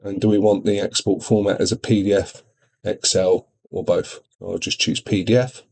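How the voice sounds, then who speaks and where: A man demonstrating software in an informal tutorial, explaining things step by step. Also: low-pitched, fairly even intonation, normal speed, gravelly, husky. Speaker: product demonstrator.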